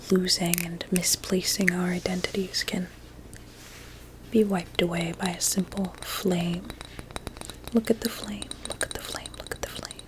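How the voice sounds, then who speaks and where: A sinister, courteous woman doctor acted out by a woman in an ASMR roleplay. She speaks mostly in a breathy whisper, low and very slow, with formal clinical politeness. There is clear noise behind the voice. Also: lightly acted. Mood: soothing, hypnotic.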